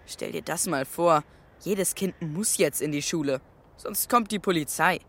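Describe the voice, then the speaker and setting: A woman's voice: sits low, measured pace, fairly bright tone, heavily acted. A woman voicing a cheeky, mocking schoolboy rascal in a children's radio play, brash and teasing.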